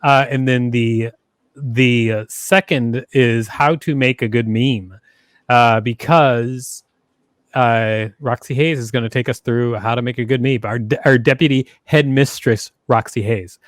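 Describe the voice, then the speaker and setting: A man's voice: mid-range voice, steady tone, unhurried, no room sound. Man hosting, close dry sound. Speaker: podcast host. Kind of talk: conversational instructional podcast talk. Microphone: close studio microphone with foam windscreen, headset on.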